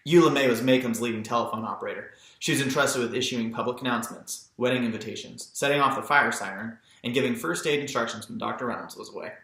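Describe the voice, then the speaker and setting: Man, audiobook narration, scripted literary reading, medium pitch, bright tone, echoey recording. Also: fast talker, few pauses. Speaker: audiobook reader.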